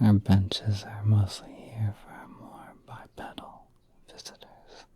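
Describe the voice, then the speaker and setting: A man voicing a gentle, courteous spider prince in a soft, breathy, reassuring whisper for an ASMR roleplay. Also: lowish voice, completely flat delivery. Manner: soft, unhurried, reassuring, hesitant pauses.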